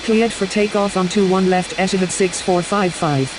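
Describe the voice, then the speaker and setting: A woman's voice: medium pitch, normal speed, natural voice. A woman playing a businesslike airline pilot in a game, making clipped, scripted radio calls. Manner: clipped, formulaic phraseology. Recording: hissy recording over steady engine noise.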